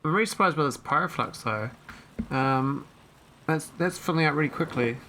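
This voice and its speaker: A man's voice: on the high side, moderate pace, pushed and bright, a little hiss or hum. A man casually commentating his own gameplay, thinking aloud and rambling with fillers into a desk microphone. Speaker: gameplay streamer. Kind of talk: casual let's-play commentary.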